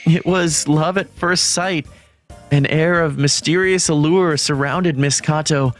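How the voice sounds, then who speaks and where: A man plays an introverted teenage schoolboy narrating a manga romance. He is gloomy, lovestruck and quick to panic, putting himself down with dramatic flair. Music plays underneath. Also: a little high, fairly even intonation, lightly acted. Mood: lovestruck, glum. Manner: self-deprecating, dramatic. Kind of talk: first-person manga story narration.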